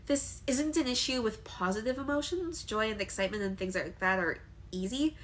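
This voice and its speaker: A woman's voice: on the high side, moderate pace, a little hiss or hum. A young woman with a congested voice from allergies, talking candidly to camera in a personal vlog, hesitant with frequent ums. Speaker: vlogger.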